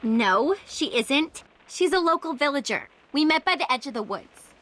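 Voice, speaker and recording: Video game character, hot-headed young female student director, very high pitch, forceful bright tone, snappy, combative. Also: expressive, moderate pace. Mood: irritated. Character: hot-tempered, brash young woman film student director. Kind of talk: dramatic video game voice acting.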